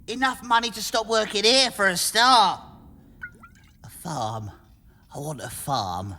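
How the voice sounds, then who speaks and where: A woman's voice: mid-range voice, expressive, unhurried, sharp tone. A woman playing a scruffy, tipsy male prison turnkey, awkward and matey, in an audio drama. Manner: casual, awkward, working-class.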